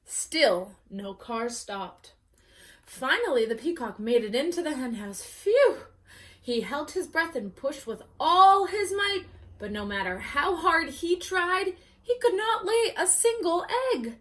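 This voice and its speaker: A woman storyteller reading aloud to children in an expressive, dramatic way, as at bedtime story time. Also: high-pitched, takes it slowly.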